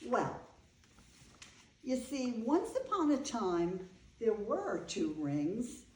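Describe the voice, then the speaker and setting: Woman acting slow-spoken older bride, echoey room. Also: on the high side. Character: older bride, wry, frank, wistful storyteller. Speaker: actor. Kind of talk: staged play reading. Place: echoey room, live staged reading.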